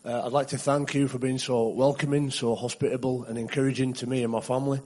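Flat-toned West Yorkshire man. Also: mid-range voice, quick pace. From England. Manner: polite, earnest, steady. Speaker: visiting churchgoer. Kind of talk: church testimony. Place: church service.

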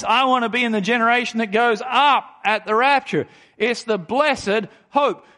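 A pastor in his forties with a fairly high voice preaches a sermon. He reads scripture aloud and then explains it, slowing down and pressing hard on the words that matter. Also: bright, forceful tone. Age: 40s. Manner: emphatic preaching, slows down to stress key phrases.

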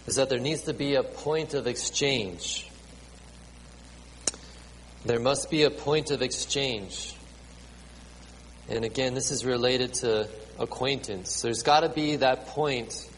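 A man in his late thirties preaching a sermon to a congregation with children, halting and repeating words, with many ums. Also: medium pitch. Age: 30s. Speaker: pastor. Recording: reverberant hall, faint ambient noise.

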